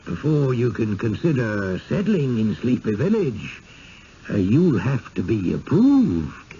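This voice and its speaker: An actor playing an evasive, smoothly persuasive small-town mayor in a 1970s radio drama, courtly and effusive. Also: male voice, medium pitch, very animated, slow, mellow. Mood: genial, evasive. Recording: muffled, hissy 1970s radio broadcast.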